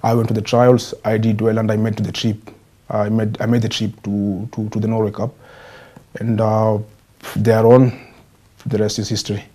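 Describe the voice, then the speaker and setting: Hesitant Kenyan man, low flat voice. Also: moderate pace. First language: Swahili. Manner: hesitant, many repeated words and restarts. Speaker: professional goalkeeper, interview guest. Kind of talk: TV interview answers.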